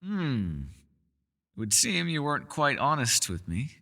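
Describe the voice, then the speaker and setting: A man's voice: on the high side, big pitch swings, measured pace, gravelly, bright, forceful tone, in a large hall, exaggerated voice. A man voicing a centuries-old elf scholar in an ASMR roleplay, courteous and meticulous, with formal wording and small hesitant stammers. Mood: calm, reassuring. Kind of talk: ASMR roleplay voice acting, one-sided dialogue.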